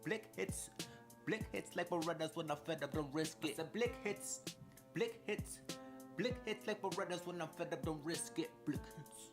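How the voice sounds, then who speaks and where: A rapper rides a steady beat and circles back on a chanted hook. Music plays clearly under the voice through the whole verse. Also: mid-range voice, normal speed. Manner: rhythmic, repetitive hook delivery. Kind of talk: rap verse over music.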